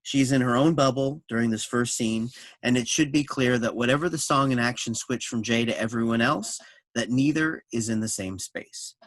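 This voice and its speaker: A man reading scripted introductory notes and stage directions as a narrator, in a measured, explanatory way. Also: mid-range voice, no echo.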